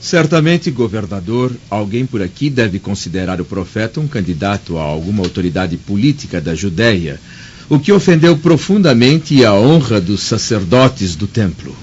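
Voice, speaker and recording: A man's voice: on the low side, sing-song, moderate pace, a little mellow, dull audio, slight background noise. An actor playing a composed, principled Roman senator in a radio drama, speaking formally and declaiming his lines. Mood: firm, diplomatic.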